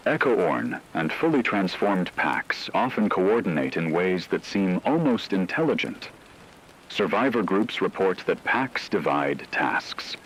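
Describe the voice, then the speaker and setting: Deep-voiced grave radio newsman played by a man. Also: very animated, measured pace, fairly bright tone, breathy edge, full character voice, rough recording. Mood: grave, ominous. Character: grave male radio newsman reporting a werewolf apocalypse. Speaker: radio emergency broadcaster. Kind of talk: scripted fictional radio news narration.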